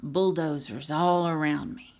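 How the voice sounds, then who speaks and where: A woman's voice: deep voice, unhurried, vocal fry, darkish tone. Boastful cartoon truck, played by a woman, folksy, proud, tall-tale teller, storybook character.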